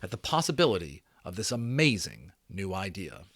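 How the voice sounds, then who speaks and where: A man giving a dramatic audiobook reading as storyteller, with expressive, varied delivery. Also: low-pitched, normal speed.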